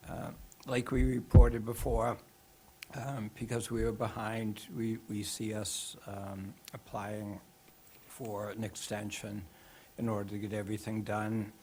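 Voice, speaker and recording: A man giving a cable advisory board update at a town meeting, hoarse from losing his voice, hesitant with many fillers. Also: lowish voice, level tone, unhurried, darkish tone, slight background noise, low-quality recording. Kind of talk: report at a public meeting.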